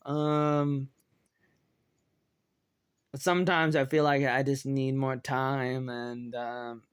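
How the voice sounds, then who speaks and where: A man in his thirties with an American accent, giving a rambling personal update in a hesitant, halting way and often repeating himself. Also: mid-range voice, little pitch movement, clean tone, no echo, studio quality. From Oregon, United States. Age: 30s. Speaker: online video creator.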